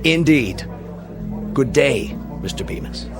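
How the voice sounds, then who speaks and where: An actor playing a stern, pompous boss in a radio drama, speaking in a formal, condescending, clipped manner. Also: male voice, medium pitch, lively intonation, slow, music in the background. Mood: scornful. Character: stern, pompous male boss scolding an employee. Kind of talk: old-time radio drama acting.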